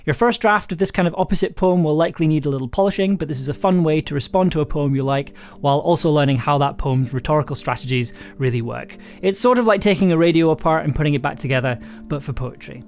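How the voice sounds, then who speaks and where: A live streamer and audio drama writer chatting casually with viewers and reading writing prompts aloud, full of fillers and restarts and quick to laugh. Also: mid-range voice, darkish tone, soft background music. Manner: hesitant, many fillers and restarts, laughs easily.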